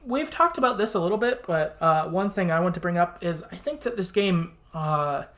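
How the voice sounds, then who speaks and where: A man co-hosting a podcast in a casual discussion, informal and often halting as he searches for words. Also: high-pitched, expressive, a bit bright. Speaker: podcast co-host. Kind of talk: casual video game discussion.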